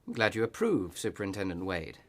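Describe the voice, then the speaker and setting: A pious parish priest in a radio drama. The voice is fairly deep with a very animated rise and fall, and he consoles in measured, preacherly phrases. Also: exaggerated voice. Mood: solemn consolation. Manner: measured, sermonising. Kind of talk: radio play acting.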